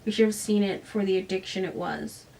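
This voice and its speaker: A guarded, defensive father speaks in a hushed, subdued voice, as a woman reads his part. The voice sits a little back from the microphone. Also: medium pitch, brisk, gravelly, distant mic, close to an everyday voice, low-quality recording.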